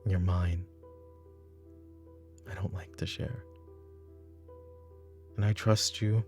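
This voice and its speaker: A man playing a weary, brooding middle-aged mafia boss and father in a roleplay audio drama, speaking in a halting, intimate way. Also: steady tone, a little mellow, soft background music. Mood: uneasy, brooding. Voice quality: gravelly, low. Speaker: voice actor.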